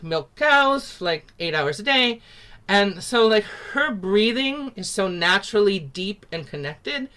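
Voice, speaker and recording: Chatty, bright-voiced woman teaching singing from home. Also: medium pitch. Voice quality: naturally loud voice. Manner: chatty, upbeat, lots of "like" and "um". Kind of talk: casual online singing lesson. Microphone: computer mic on a video call.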